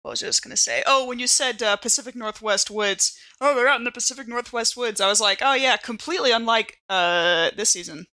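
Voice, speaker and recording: A woman's voice: fairly high pitch, brisk, hardly any pauses, sharp tone. A woman playing on a tabletop game podcast, chatting casually with the others. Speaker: podcast player. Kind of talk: casual chat.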